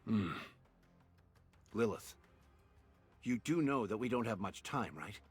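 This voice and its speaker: Game role, idealistic male commander; rough-edged husky tone, solemn measured delivery, faint music behind. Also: lowish voice, expressive, full character voice. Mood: grave. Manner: measured, grave. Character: weary, idealistic male military commander, quietly determined. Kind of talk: scripted game voice acting.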